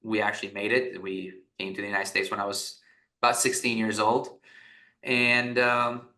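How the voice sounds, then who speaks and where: Bright-toned man from Iraq, echoey room. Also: lowish voice, little pitch movement, normal speed. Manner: rambling, frequent restarts and fillers. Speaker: guest, business founder. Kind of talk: conversational podcast interview.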